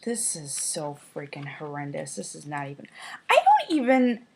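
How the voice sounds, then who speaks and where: A woman's voice: sits low, huge pitch swings, moderate pace, creaky, heavy background noise. A woman with a head cold, sniffling as she mocks a children's book in a sarcastic, profane casual commentary. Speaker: YouTuber.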